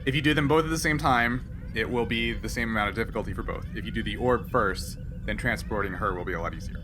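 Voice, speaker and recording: A man's voice: fairly low pitch, fast talker, noisy background. A man speaking as game master, narrating and bantering through an unscripted tabletop game.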